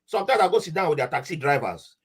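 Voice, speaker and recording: A man's voice: on the high side, fast talker, husky. A man with a Nigerian accent, casually telling a story as a podcast guest, slipping into Pidgin and acting out voices. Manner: animated, mixes Nigerian Pidgin, acts out quoted voices.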